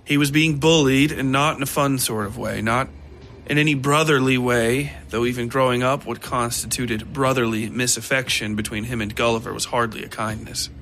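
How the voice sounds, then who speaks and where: A man narrating a dark fiction story in a deliberate, theatrical way. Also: mid-range voice, steady tone, slight background noise. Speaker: narrator of a horror fiction podcast. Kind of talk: scripted dramatic story narration. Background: music behind the voice.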